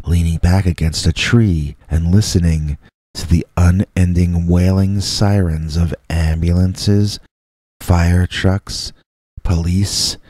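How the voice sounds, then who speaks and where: A man with a very low, dark voice tells a scary story in the first person. He reads slowly and deliberately, leaving dramatic pauses between short phrases. The recording is close and very dry. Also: no echo. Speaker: storyteller, host reading listener stories as a Bigfoot persona.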